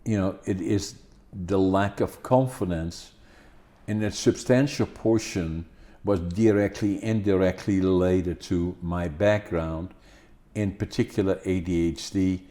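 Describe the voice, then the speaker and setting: An older man in his seventies from the Netherlands, speaking English with a Dutch accent and Dutch as his first language, hosting a podcast in a halting style full of fillers and restarts. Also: deep voice, fairly even intonation, mellow. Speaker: podcast host. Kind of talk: casual conversation.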